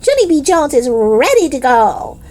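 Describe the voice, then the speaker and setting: Woman playing an excitable, high-pitched, hollering little girl. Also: normal speed. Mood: thrilled. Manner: exuberant, hollering, emphatic.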